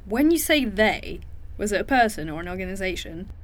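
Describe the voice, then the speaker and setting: A woman's voice: medium pitch, animated, brisk, natural voice. A woman playing a tough, world-weary crew member in an audio drama, wry and understated, by turns menacing and compassionate. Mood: wry, probing.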